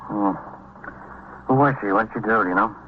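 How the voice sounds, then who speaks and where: An actor playing a coolly observant, world-weary detective lieutenant in a vintage radio drama, casual, wry and terse. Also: male voice, fairly low pitch, a little fast, close to an everyday voice. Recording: dull, noisy vintage radio recording.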